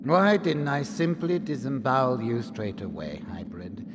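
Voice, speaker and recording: An actor playing an arrogant, cruel dark-fantasy nobleman in a video game, with theatrical, aristocratic diction. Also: medium pitch, animated, unhurried, lightly acted. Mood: sneering. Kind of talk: video game cutscene acting. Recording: long hall reverb, background noise.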